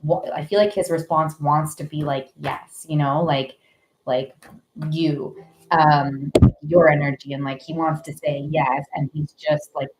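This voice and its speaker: A woman's voice: deep voice, fairly even intonation, a little slow, background noise. Rambling woman giving a live tarot reading. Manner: rambling, filler-heavy, giggly. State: stuffy nose. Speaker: tarot reader.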